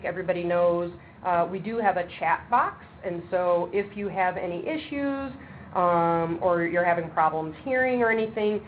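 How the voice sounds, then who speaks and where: A woman chairing a webinar for an advisory board, talking briskly and practically with frequent ums. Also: fairly low pitch. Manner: says she has a loud voice. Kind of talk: webinar presentation. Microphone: speakerphone on a table. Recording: tinny phone line with faint background noise.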